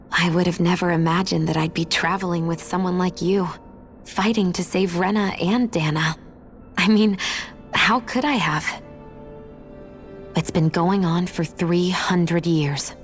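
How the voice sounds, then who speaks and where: A female actor plays a guarded young heroine from a game drama. Her voice is on the low side, and she speaks in a reflective, earnest way, with music underneath. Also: close to an everyday voice. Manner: thoughtful, earnest. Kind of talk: dramatic video game cutscene acting. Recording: music playing behind the voice.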